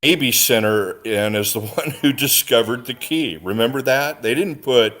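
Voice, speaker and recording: A man in a casual discussion, speaking haltingly as he searches for his words. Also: mid-range voice, a bit bright. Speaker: discussion participant on a stream.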